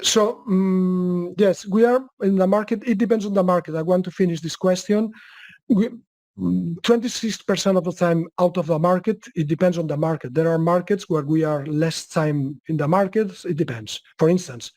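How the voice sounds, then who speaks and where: A man's voice: high voice, steady tone, normal speed, darkish tone, no echo. A man with a Spanish accent presenting in a webinar and answering questions, often restarting his sentences. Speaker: guest presenter.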